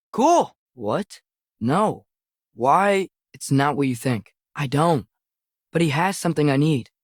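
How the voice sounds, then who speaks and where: A young male actor playing an earnest, impulsive teenage boy archer in a video game, expressive and youthful. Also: on the high side, no echo, studio quality, lightly acted. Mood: earnest, pleading. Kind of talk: video game character dialogue.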